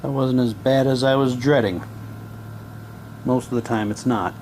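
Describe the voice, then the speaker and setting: A man giving halting, low-key, wry commentary as he casually unboxes items and reads captions aloud. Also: steady tone, a little mellow, a little hiss or hum. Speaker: unboxing video host.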